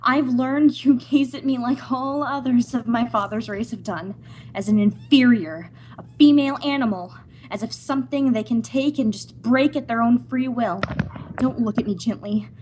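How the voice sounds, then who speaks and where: A woman's voice: a little high, moderate pace, a little mellow, a little hiss or hum, natural voice, poor audio. A woman playing an anguished young island daughter torn by love, pleading breathlessly in an old-time radio melodrama. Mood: bitter anguish.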